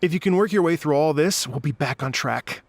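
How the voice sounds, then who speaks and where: A man's voice: a little high, lively intonation, brisk, dry recording. A man voicing a polite, earnest and welcoming festival organiser in a video game. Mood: polite, grateful. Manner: courteous, earnest. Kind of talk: video game cutscene dialogue.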